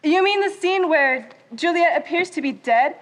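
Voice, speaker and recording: A young woman playing a gracious, theatrical Victorian actress in a high school stage play, with polite, expressive delivery. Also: normal speed. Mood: theatrically exasperated.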